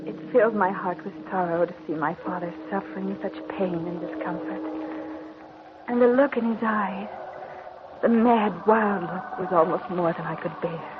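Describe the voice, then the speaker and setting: An actress playing an anxious, devoted young married daughter in an old-time radio drama, earnest and theatrically emotional. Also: female voice, mid-range voice, normal speed, close to an everyday voice. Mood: sorrowful narration. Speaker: narrator and lead character. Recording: lo-fi noisy old radio recording.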